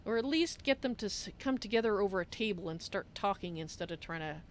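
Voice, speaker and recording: A woman's voice: medium pitch, expressive, fast talker, slight background noise, natural voice. A woman voicing a polite, chatty female cat-folk adventurer in improvised fantasy game roleplay, referring to herself in the third person. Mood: earnest, persuasive. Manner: speaks of self in third person. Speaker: roleplay streamer.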